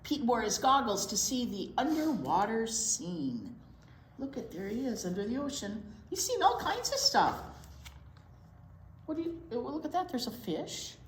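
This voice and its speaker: A woman's voice: a little high, very animated, measured pace, slight background noise. A woman reading a picture book aloud to young children as a storytime teacher, expressively drawing out key words and asking little questions. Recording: roomy, echoey indoor sound.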